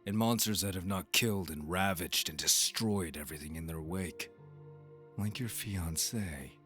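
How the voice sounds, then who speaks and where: Man playing young monster hunter, very deep and sharp voice, scornful, deliberate, haughty brother, audio roleplay. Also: faint music behind. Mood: defiant contempt. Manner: sneering, contemptuous, deliberate.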